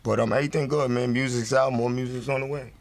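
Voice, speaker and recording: A man's voice: mid-range voice, steady tone, quick pace, gravelly, dry recording. A man with a New York accent chatting casually as a rap artist guest in an interview, slangy and laid-back, often repeating phrases for emphasis. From Long Island, New York, United States.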